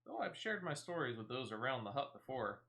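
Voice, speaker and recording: A man's voice: mid-range voice, sing-song, fairly quick, a bit bright. A man voicing a forgetful, cheerful old male ex-adventurer in a fantasy game, rambling and losing his train of thought. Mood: chatty. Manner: rambling, trailing off mid-sentence.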